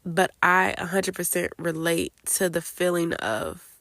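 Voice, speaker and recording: A woman's voice: on the low side, lively intonation, measured pace, fairly bright tone, no echo, clean studio sound. A woman hosting a casual solo podcast, sounding congested from a cold and chatting candidly with lots of fillers. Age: late 20s. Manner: chatty and candid, many fillers like "like" and "I don't know". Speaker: podcast host.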